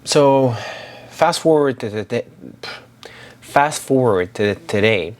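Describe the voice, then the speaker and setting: A man's voice: medium pitch, lively intonation, slow. A man in his twenties, originally from Syria, talking reflectively in a personal daily vlog, rambling with many fillers and restarts. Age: 20s. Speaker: daily vlogger.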